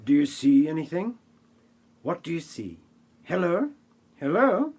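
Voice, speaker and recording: A man voicing an excitable, flustered, easily amazed character with exaggerated astonishment in an amateur comedy audio play. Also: on the high side, measured pace, breathy edge, voice pushed up, exaggerated voice. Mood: panicked.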